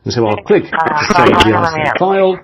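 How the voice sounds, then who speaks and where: A man's voice: a little high, expressive, moderate pace, a crowd in the background, poor audio. A man from the UK presenting a step-by-step screen tutorial.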